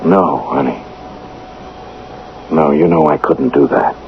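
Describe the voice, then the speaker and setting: An actor playing an earnest, torn young football player in a radio drama, halting and apologetic. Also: male voice, very deep voice. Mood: defensive, pleading. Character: earnest, torn young football star, meek under pressure. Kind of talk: 1970s radio drama acting. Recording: muffled, noisy vintage radio broadcast.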